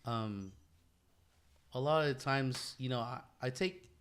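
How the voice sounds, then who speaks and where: A man's voice: medium pitch, unhurried, very noisy. A man co-hosting a casual podcast, talking informally with friends in profane bro slang. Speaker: podcast co-host.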